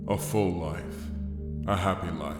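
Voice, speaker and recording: Deep, echoing, solemn ghostly mentor played by a man. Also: steady tone. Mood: tender, wistful. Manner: slow, poetic, measured. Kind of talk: cinematic audio roleplay acting. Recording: heavy echo with music behind. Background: music.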